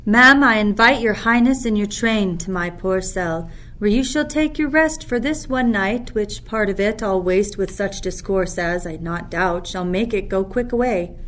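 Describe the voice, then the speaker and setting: A woman playing a commanding exiled duchess and mother in a Shakespeare radio play, speaking formally and deliberately. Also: mid-range voice, fairly bright tone, close to an everyday voice. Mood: gracious, solemn. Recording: noisy recording with ambient sound texture.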